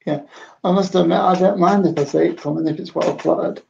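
Gravelly man, dull lo-fi line. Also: sits high, fairly even intonation, a little mellow, a little hiss or hum. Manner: brief agreeing, halting questions. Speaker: interviewer host. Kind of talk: video call interview. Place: home room with bookshelf. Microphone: video call. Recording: dull lo-fi video call audio.

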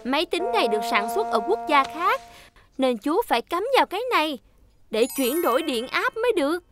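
A woman's voice: high voice, sing-song, a little fast. A woman voicing a helpful, cheerful young female technician in a children's cartoon dub, friendly and instructive. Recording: music under the voice.

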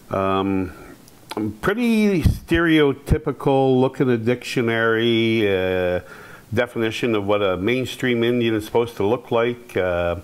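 A man in his fifties from Quebec, whose first language is Algonquin, tells his own story to a seated audience. His voice sits fairly low, and he speaks in an unhurried way, pausing often with "uh". He is picked up by a clip-on lapel mic in a hall. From Quebec, Canada. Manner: relaxed, unhurried, many "uh" pauses. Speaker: panel speaker. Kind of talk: personal storytelling at a panel. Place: hall with curtained stage, audience present.

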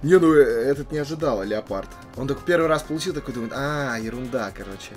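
A man hosts a loose, jokey gaming session while sick with a cold. His pitch swings freely at a medium register. Music and game sounds play behind his slangy talk. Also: expressive, quick pace. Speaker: gaming video host. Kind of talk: casual let's play commentary. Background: game music and tank engine sounds.

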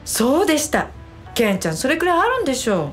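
A cheeky young female office worker from a radio drama, played by a woman. She teases bluntly in a fairly high, very lively voice, with soft music underneath. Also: quick pace. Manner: teasing, casual, blunt.

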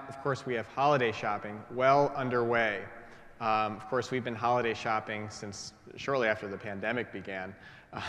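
A man in his thirties preaches in a large church, his voice a little congested from a lingering cold. He talks in a relaxed, conversational way, full of ums and restarts, into a pulpit microphone. The sound trails off into a long reverb from the stone walls. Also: mid-range voice. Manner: conversational, many fillers and restarts. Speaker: priest. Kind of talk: sermon. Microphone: gooseneck pulpit mic.

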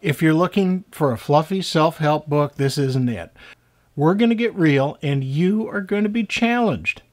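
A man in his sixties, an author and teacher, giving a scripted talk to camera in deliberate, emphatic phrases with pauses. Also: on the high side, animated, a little mellow. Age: 60s.

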